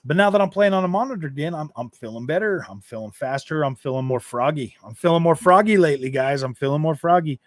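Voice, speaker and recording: A man in a casual gaming live stream, talking in a fairly high voice and wandering through his thoughts with lots of restarts. The sound is dry and close, with no room around it. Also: lively intonation, fast talker, few pauses, no echo. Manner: rambling, repeats and restarts phrases, laid-back.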